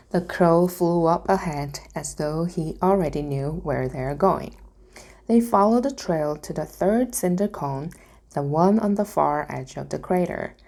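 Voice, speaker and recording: A woman reading a storybook aloud as narration, in a careful, deliberate manner. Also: on the low side, vocal fry, dark tone. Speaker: reader.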